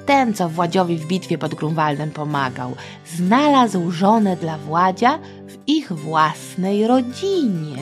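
A middle-aged woman hosting a children's history podcast, telling a story in a playful, colloquial, expressive way. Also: lowish voice, slow, faint music behind. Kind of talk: informal scripted storytelling for children.

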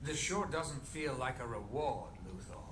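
Man playing a brash cartoon villain, lively swooping voice. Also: mid-range voice, measured pace, close to an everyday voice. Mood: bitter. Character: brash, bitter male cartoon villain turned metal man. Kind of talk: cartoon voice acting. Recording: cartoon playback with loud music underneath.

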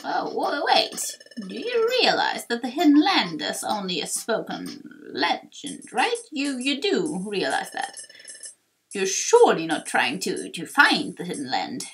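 A woman voicing an elderly, wistful tortoise creature from a video game, halting and drawn-out in speech. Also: medium pitch, huge pitch swings, a bit bright, pitched below the performer's own voice, exaggerated voice, poor audio. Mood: slow, pondering.

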